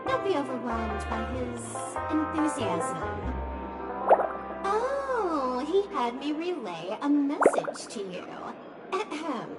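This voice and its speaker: Over loud music, a high, swooping young female hacker teases her listeners. She is smug and mischievous, drawing out coy pauses in polished video game style. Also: full character voice. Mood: playful, mischievous. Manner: coy, teasing, theatrical pauses. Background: loud background music.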